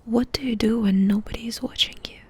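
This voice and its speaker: A woman doing ASMR in a breathy whisper, softly and intimately asking questions with pauses between them. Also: a little high, fairly even intonation. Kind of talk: whispered ASMR personal-attention roleplay.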